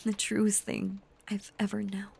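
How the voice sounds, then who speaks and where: Slow, breathy, possessive werewolf woman, acted by a woman. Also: mid-range voice, completely flat delivery, darkish tone. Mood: desperate, possessive. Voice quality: breathy, often whispered. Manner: slow, halting, intense confiding delivery. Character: obsessive, possessive female werewolf lover, tender yet menacing. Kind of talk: intimate audio roleplay monologue.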